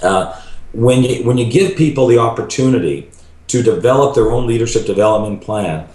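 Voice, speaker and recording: A man's voice: medium pitch, fairly quick, darkish tone. A middle-aged man talking earnestly as a guest on a video call, thoughtful and restarting his sentences often. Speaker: ministry leader, podcast guest. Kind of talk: casual interview conversation. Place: home room. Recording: roomy video call audio.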